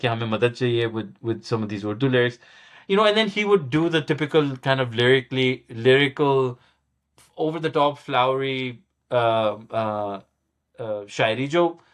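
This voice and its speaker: A middle-aged man, a musician being interviewed, recalling his past in casual talk with many restarts and repeated words, often chuckling at himself. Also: medium pitch. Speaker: guest musician.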